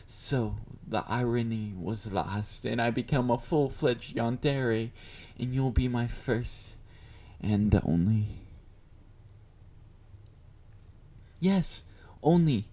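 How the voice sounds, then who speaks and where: A man's voice: lowish voice, darkish tone, close to an everyday voice. A man playing a confident, obsessive, flirty yandere fan in an intimate audio roleplay, teasing and smug as he speaks to his beloved. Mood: smug, possessive. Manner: teasing, smug, mock-considerate, murmured endearments.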